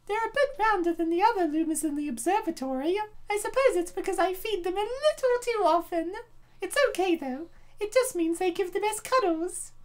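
An indulgent princess mother as a woman puts her on, pitched high. She coos sweetly over her little ones in comic dub style. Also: brisk. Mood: sweet, fond.

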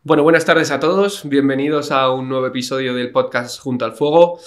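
A man's voice: medium pitch, brisk. Young man hosting a studio podcast. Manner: friendly, hesitant with many "eh" and "ujú". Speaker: podcast host. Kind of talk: casual interview hosting. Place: small studio with wood panels and a plant. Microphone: large studio mic on a boom arm, headphones.

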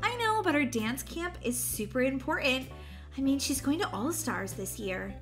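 A woman playing a tired, worried young mother who talks in an anxious, hurried way. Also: high-pitched, very animated, a bit bright, soft background music, exaggerated voice.

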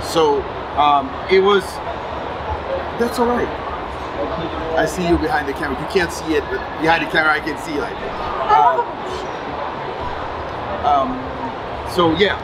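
A man with a French accent, talking about his work in a lively, hands-moving way, his voice sitting a little high for a man. He is recorded at a convention booth with loud hall noise behind him. He sounds like he is in his forties. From France. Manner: animated, gestures while talking. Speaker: comic book writer and publisher. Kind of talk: casual interview at a convention.

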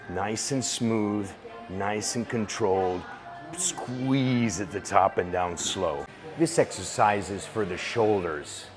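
A man in his forties giving calm, steady step-by-step workout instructions as a voice-over. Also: fairly low pitch. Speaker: fitness instructor. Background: music.

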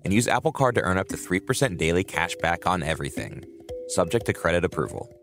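A man's voice: low-pitched, animated, quick pace, fairly bright tone, soft background music. A man reading a scripted subscription promo as an announcer.

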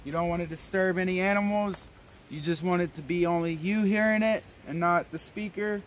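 A man's voice: high-pitched, a little fast. A young adult man giving a casual product demonstration to camera as a reviewer, speaking outdoors. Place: outdoors in a wooded yard. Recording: outdoor camera audio with background noise.

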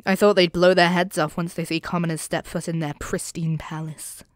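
A woman playing a cocky young commoner thief in an expressive, sardonic audio roleplay, speaking straight to the listener. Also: on the low side, moderate pace, no room sound, close to an everyday voice. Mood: teasing, sardonic. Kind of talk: audio roleplay acting, one-sided dialogue addressed to the listener.

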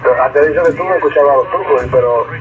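A man's voice: fairly high pitch, racing, phone-quality sound, a crowd in the background. A man in a casual chat as a radio hobbyist, often tagging his sentences with a questioning "eh". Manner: repeatedly adds "eh" as a tag.

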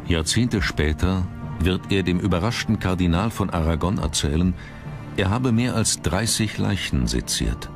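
Low male narrator doing a history doc, measured and dramatic, music under him. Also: lively intonation. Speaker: documentary narrator. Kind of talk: scripted narration. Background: background music.

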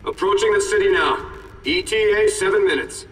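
An earnest superhero captain in a video game. He sounds clipped and steady, fairly high, distant in an echoing space with faint music. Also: normal speed. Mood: steady, urgent. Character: earnest male superhero soldier captain, adult. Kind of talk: video game cutscene acting.

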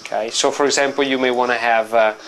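A middle-aged man with an Italian accent, a native Italian speaker, walking through how to use a software application in an office, explaining in a relaxed way with frequent fillers and restarts. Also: mid-range voice, fast, faint music behind, rough recording. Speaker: company representative demonstrating software. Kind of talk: product walkthrough.

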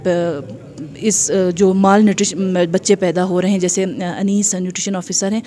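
A woman's voice: fairly low pitch, flat delivery, warm tone. A woman in her thirties with a Pakistani accent gives an informal awareness statement into a handheld mic, hesitating often and mixing in English terms. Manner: hesitant, with frequent filled pauses and English terms mixed in. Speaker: livestock department representative. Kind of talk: informal public-awareness interview statement to camera. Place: indoor event hall, in front of a banner. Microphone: handheld reporter microphone.

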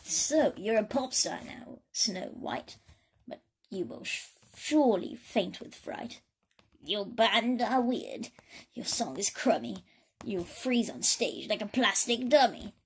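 A woman's voice: mid-range voice, very animated, slow, a little hiss or hum, rough recording. A woman voicing a mean, vain queen from a children's story, theatrical and spiteful in rhyme. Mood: gloating, taunting.

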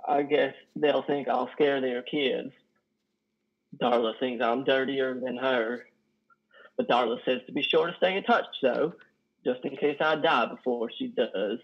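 Man playing a husky, lonely trucker. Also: medium pitch. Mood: lonely, downcast. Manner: halting, stammering, folksy. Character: lonely, guilt-ridden, worn-out male trucker confessing. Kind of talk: online play reading. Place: living room, on a sofa. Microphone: headset on video call. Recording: dull video call audio.

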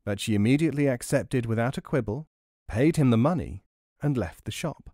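Expressive male audiobook storyteller, dry sound. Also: mid-range voice, fairly quick, no room sound. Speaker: audiobook narrator. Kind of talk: scripted storytelling narration.

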